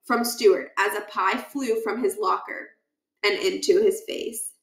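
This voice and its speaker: A woman reading a children's book aloud as an expressive storyteller, with animated, deliberate emphasis. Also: medium pitch. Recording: echoey, roomy sound.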